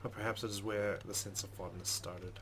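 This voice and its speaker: A man's voice: on the low side, flat delivery, fairly quick, a bit bright. A man hosting a let's play, reading game text aloud dramatically and adding wry asides. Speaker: gaming host. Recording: faint background noise.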